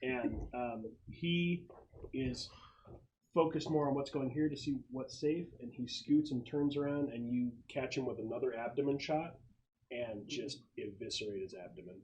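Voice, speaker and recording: A man's voice: mid-range voice, moderate pace, a little mellow, dull audio. Man running a tabletop game, noisy room. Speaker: game master. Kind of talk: casual tabletop game narration.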